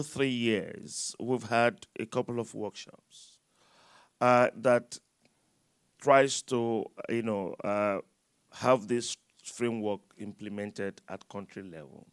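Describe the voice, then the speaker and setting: A low-pitched man speaking on a conference panel, his delivery loud and halting, with frequent fillers and restarts. The sound is dry, with no room echo. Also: clean tone. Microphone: microphone.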